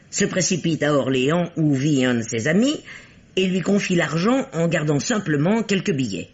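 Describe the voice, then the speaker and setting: An actor playing a shrewd, bossy amateur detective who teases his partner, in theatrical, explanatory 1950s French radio mystery style. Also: on the high side. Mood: explaining, assured. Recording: dull vintage radio recording.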